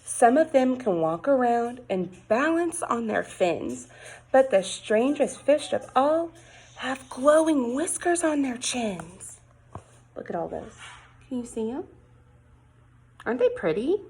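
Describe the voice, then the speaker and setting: A woman teacher reading a picture book aloud to young children, expressive and sing-song, coaxing with questions and exclamations. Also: a little high, slow, a little hiss or hum, poor audio.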